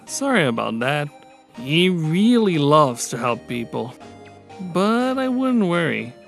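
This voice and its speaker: Woman voicing a low, laid-back skeleton guy. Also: sing-song, slow pace, vocal fry, heavily acted. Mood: easygoing. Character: laid-back, protective male skeleton brother. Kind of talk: amateur comic dub voice acting. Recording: music bed under the voice.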